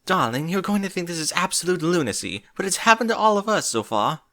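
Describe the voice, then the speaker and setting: A man's voice: sits high, expressive, fast, pushed and bright, voice pushed up, full character voice. A man voicing a fussy, ladylike female unicorn pony with a theatrical, genteel manner, in a story reading. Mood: breathless, alarmed.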